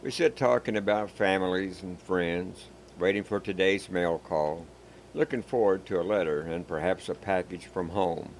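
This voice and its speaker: A man reading a first-person war story in a calm, measured, plain-spoken way. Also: deep voice, a little hiss or hum. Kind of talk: scripted first-person narration. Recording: background music.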